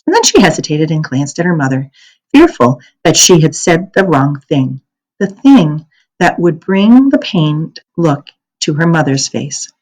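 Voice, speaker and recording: A woman reading a children's novel aloud as a storyteller, in an expressive, deliberate manner. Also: sits low, low-quality recording. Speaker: read-aloud narrator.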